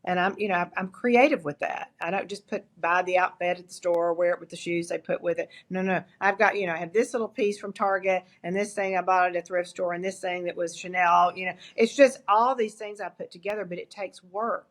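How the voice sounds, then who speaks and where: A woman's voice: fairly low pitch, fairly quick, few pauses. An older woman with an East Texas accent, a guest in an interview, talking candidly and telling stories with lots of restarts. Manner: candid, animated storytelling with frequent restarts. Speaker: guest, agency founder. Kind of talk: interview conversation.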